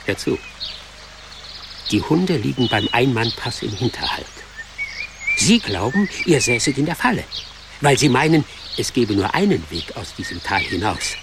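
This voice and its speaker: A man's voice: mid-range voice, sing-song, moderate pace, vocal fry, long pauses, background noise. A man playing a brave, protective animal guide in a radio play, with an urgent manner. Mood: explaining, conspiratorial.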